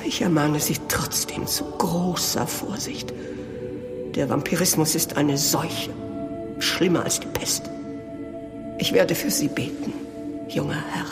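An actor playing a grave, pious monk in a horror audio drama, speaking solemnly and portentously. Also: male voice, on the high side, takes it slowly, long pauses, over loud music. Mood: urgent warning.

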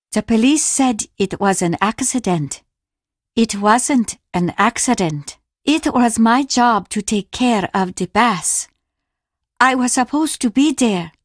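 A woman playing a grieving older Japanese innkeeper mother in a video game, reserved and stern, speaking in a measured, formal way. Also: on the high side, dry recording, clean studio sound. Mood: sorrowful. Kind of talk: video game character acting.

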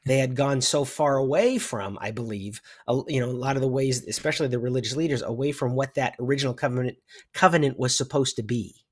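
A middle-aged American man reflects aloud as a guest in a relaxed talk about faith. His pitch is average for a man, and he builds his thoughts as he goes. He is on a video call from a room at home. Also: fast. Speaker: discussion guest. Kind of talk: casual religious discussion.

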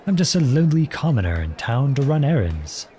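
A man playing an earnest, protective young outlawed prince in an audio roleplay, with a gentle, unhurried, folksy manner. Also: mid-range voice, big pitch swings, slight background noise, lightly acted. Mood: polite, evasive. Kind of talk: ASMR roleplay acting.

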